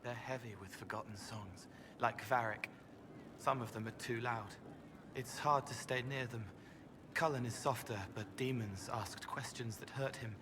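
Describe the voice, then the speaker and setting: An actor playing a gentle, otherworldly young man in a video game, speaking in dreamy, halting, poetic fragments. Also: mid-range voice, fairly even intonation, background noise, close to an everyday voice. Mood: quiet, haunted. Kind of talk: video game dialogue acting.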